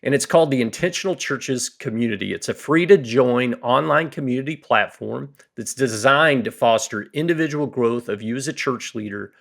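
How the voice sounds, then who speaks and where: A man's voice: medium pitch, gravelly, fairly bright tone. A man hosting a church-leadership podcast, welcoming a guest with upbeat enthusiasm. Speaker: podcast host.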